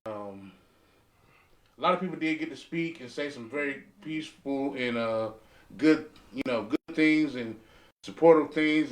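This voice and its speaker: An American man talking casually and emphatically in a round-table discussion, repeating phrases for stress. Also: mid-range voice. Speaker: podcast panelist. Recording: roomy echo, distant from mic.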